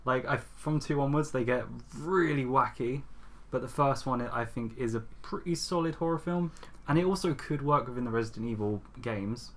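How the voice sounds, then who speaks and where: A man's voice: medium pitch, normal speed. A man co-hosting a podcast, chatting casually and bluntly about his opinions on films in an informal, sweary way. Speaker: podcast host presenting a top five list. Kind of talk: casual film chat.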